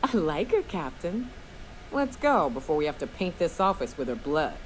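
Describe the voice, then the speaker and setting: Casting: flippant game sidekick, lowish voice, very animated pitch, faint noise behind. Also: female voice, normal speed, rough recording. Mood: blunt, wry. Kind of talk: video game voice acting.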